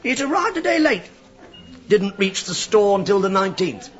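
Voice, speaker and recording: A man's voice: high voice, sing-song, moderate pace, highs cut off. A man playing a sly police inspector in a radio drama, rambling with mock absent-mindedness and teasing insinuation.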